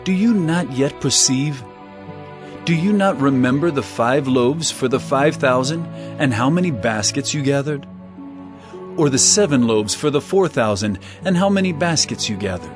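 A man's voice: mid-range voice, animated, moderate pace, music in the background, close to an everyday voice. An actor playing an earnest male religious teacher in a dramatized scripture reading, with emphatic delivery. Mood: reproving.

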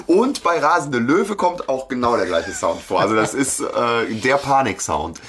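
Male co-host, medium pitch, informal and jokey conversational delivery for a podcast. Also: big pitch swings, a little slow, fairly bright tone, a little hiss or hum. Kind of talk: casual chat discussing an audio drama.

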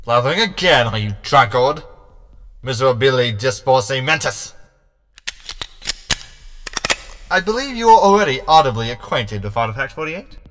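Casting: male actor as homicidal hologram, theatrical sci-fi comedy villain, sharp, highish, swooping delivery. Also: big pitch swings, fairly quick. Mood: taunting. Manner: theatrical, taunting, peppered with Latin phrases. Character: gleefully homicidal male hologram hunting humans. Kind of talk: science fiction comedy audio drama.

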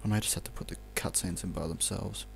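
A young man with an Australian accent talks through a video game as he plays. His voice sits very low and has a lot of fry in it. He rambles in an offhand, muttered way, casual and unscripted, with the odd swear word. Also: steady tone, fast talker, slight background noise. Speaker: let's play commentator.

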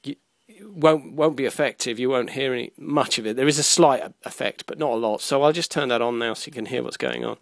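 A middle-aged man gives an informal bench demonstration at a medium pitch. He explains step by step in a halting way, correcting himself as he goes. The sound is dry, with little room in it. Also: vocal fry, no room sound. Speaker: electronics hobbyist demonstrator. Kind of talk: informal hands-on tutorial. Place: indoors at a workbench. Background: music playing from a small speaker at times.

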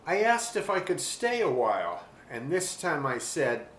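An older man reading a children's storybook aloud expressively and deliberately, pausing to describe things. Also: a little high, sing-song, fairly bright tone. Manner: deliberate, with pauses to describe the pictures. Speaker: children's book reader. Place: small furnished room at home. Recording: roomy webcam sound with faint hiss.

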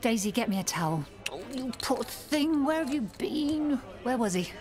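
An actress playing a brisk, scolding middle-aged British landlady and mother in a radio soap, colloquial and no-nonsense. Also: fairly high pitch, very animated, noisy background. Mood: worried, bossy. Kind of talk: naturalistic radio soap acting.

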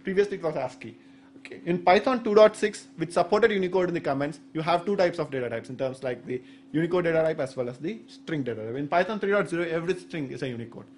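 A man's voice: a little high, fast talker, slight background noise. A man in his thirties with an Indian accent, a native Saurashtra speaker, giving a technical conference talk with hesitant explanations and many fillers. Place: medium indoor conference room. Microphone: stand microphone at the podium.

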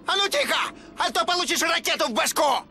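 A man's voice: expressive, fairly quick, slight background noise, full character voice. An actor playing a vain, deranged villain's henchman in a cartoon game, whiny and boastful, with manic shrieking delivery. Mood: panicked, shrill. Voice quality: creaky, shrill. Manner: manic, boastful, swinging into shouts. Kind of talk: cartoon video game voice acting.

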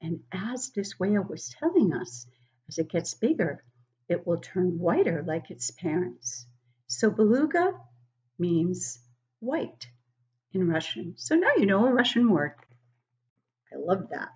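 An older woman reads a picture book to small children in a slow, highly animated voice. She is expressive and encouraging, often turning to the listeners with questions. The home recording sounds a little dull. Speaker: teacher reading aloud to young children. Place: living room at home, indoors.

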